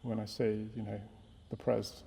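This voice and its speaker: A man's voice: fairly low pitch, level tone, unhurried, vocal fry, mellow, husky. A middle-aged man from England, a priest, talking reflectively in a casual panel discussion with hesitant restarts. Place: church hall. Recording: long reverb in a hall.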